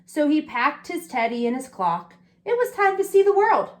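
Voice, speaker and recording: A woman's voice: sits high, sing-song, normal speed, a little mellow. A woman reading a picture book aloud to young children, with chatty asides about the pictures and questions to the listeners. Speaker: storybook reader for preschool children.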